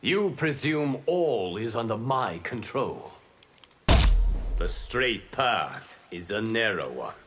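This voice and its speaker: A calm, wise god from a fighting game. He admonishes in slow, solemn phrases with lively intonation. Loud noise runs behind him. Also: lowish voice. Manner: measured, sententious. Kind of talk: video game character dialogue.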